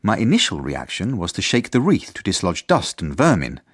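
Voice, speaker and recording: A fussy, officious headmaster in a radio drama. His lowish, slightly husky voice moves very expressively through formal, pedantic sentences. The sound is dry and close. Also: huge pitch swings, moderate pace, no echo, heavily acted. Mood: prim, dry. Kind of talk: scripted radio drama narration.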